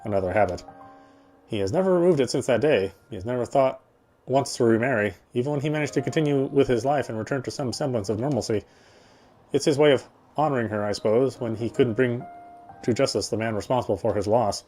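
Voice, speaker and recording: Male reader for game narration: mellow, fast-paced, reflective and dramatic, with music underneath. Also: medium pitch. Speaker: player reading a visual novel aloud. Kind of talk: dramatic reading of first-person game narration. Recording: music behind the voice.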